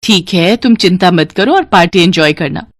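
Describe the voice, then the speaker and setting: A woman's voice: fairly low pitch, lively intonation, normal speed, no room sound. A woman voicing a practical married elder sister, firm and earnest, in a storybook tale. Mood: reassuring.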